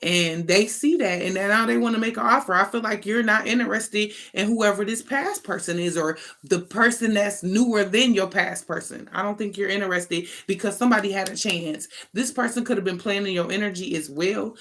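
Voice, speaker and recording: A woman's voice: medium pitch, a little fast, hardly any pauses, fairly bright tone. Emphatic American woman reading tarot. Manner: emphatic, slangy, conversational, swears casually. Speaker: tarot reader, online channel host. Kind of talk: informal improvised tarot reading.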